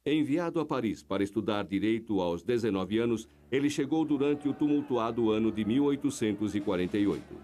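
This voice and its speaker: A man reading a scripted documentary narration in a measured, even way. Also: fairly low pitch.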